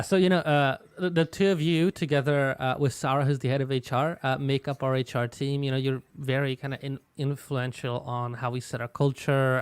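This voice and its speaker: A man hosting a casual company live stream, chatty and relaxed, laughing easily. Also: medium pitch, clear, resonant tone, no echo.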